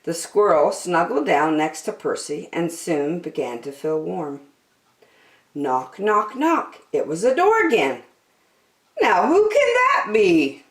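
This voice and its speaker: A woman reading a children's story aloud expressively, with deliberate, dramatic phrasing. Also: low-pitched, very animated, roomy sound.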